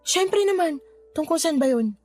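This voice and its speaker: Indignant cousin, very high voice. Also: female voice, animated, unhurried, darkish tone. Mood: concerned. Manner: exclamatory, scolding. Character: indignant, protective, gossipy female cousin. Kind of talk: Tagalog radio drama acting. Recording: music bed behind the voice.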